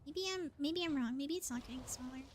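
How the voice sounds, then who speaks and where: A woman's voice: sits high, fairly quick, slight background noise. A woman livestreamer giving casual gameplay commentary, thinking aloud in a playful, exclamatory way. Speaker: livestreamer playing a game.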